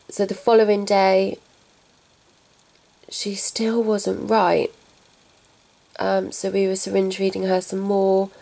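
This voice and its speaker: A young woman vlogging about her pets, talking softly and haltingly while getting over a cold. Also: mid-range voice, gravelly, low-quality recording. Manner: soft, halting, subdued. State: recovering from a cold. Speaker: pet vlogger. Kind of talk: casual talk to camera.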